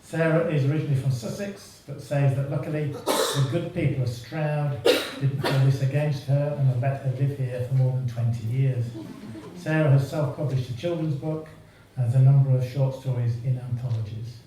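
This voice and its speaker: A man's voice: mid-range voice, steady tone, normal speed, dark tone. A man giving a live introduction on stage as the host of a literary event. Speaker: event organiser introducing an author. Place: small theatre stage before an audience. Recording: very echoey hall, distant mic, faint noise.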